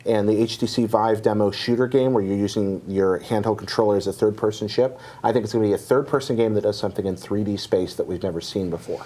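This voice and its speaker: Husky low-voiced man, dryly joking. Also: fairly even intonation, fast, hardly any pauses, mellow. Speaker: panelist. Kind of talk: casual video game discussion.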